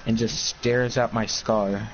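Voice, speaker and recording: A man's voice: fairly low pitch, slow, dull audio, soft background music. A teenage boy playing a shooter with a friend, bickering and calling out plays in casual banter. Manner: bickering, excitable. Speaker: fellow player.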